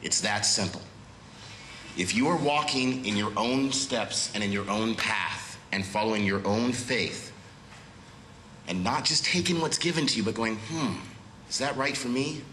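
Nervous, earnest man in his thirties with a cold, slightly nasal, bright low voice, echoey church. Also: a little slow, a little hiss or hum. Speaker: guest speaker. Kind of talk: church talk with prayer. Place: church sanctuary at a pulpit, indoors. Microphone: far camera with pulpit microphone. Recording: roomy echo of a church hall.

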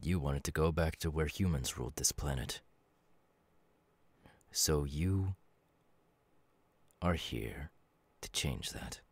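Man voicing a deep, calm demon warrior. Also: slightly rough, no echo, full character voice. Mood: calm, knowing. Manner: measured, halting, dramatic delivery. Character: calm male demon warrior, knowing and quietly menacing. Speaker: voice actor. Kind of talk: ASMR audio roleplay monologue.

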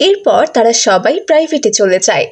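A woman's voice: on the high side, big pitch swings, fairly quick, darkish tone. A woman narrating a scripted children's story.

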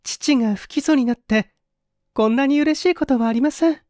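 A man voicing a polite, devoted young woman who speaks softly and formally in a dramatized story reading. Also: very high voice, brisk, no echo, studio quality, pitched above the performer's own voice. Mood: grateful, relieved. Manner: soft, demure, formal. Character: polite, grateful young woman, devoted daughter, gently inquisitive.

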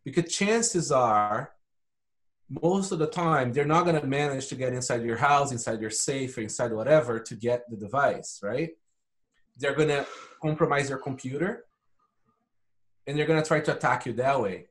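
A man's voice: medium pitch, moderate pace. A man from Brazil giving casual, matter-of-fact explanations as a podcast guest. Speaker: podcast guest, hardware company founder.

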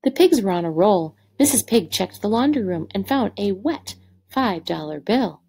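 A woman's voice: mid-range voice, animated, normal speed, warm tone, breathy edge. A woman teacher reading a picture book aloud to third graders, expressively and with direct questions to the children.

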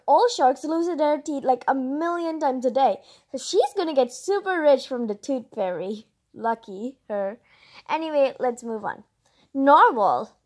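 A female host reading a children's picture book aloud, expressively, with chatty asides and drawn-out emphasis. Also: high voice.

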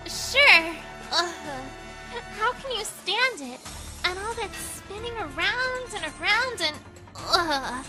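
A woman's voice: very high-pitched, very animated, slow pace, exaggerated voice, low-quality recording. A woman voicing a sweet, eager young hedgehog girl with a girlish manner in a comic dub. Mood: perky. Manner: girlish, hesitant then perky. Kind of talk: amateur comic dub voice acting. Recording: music behind the voice.

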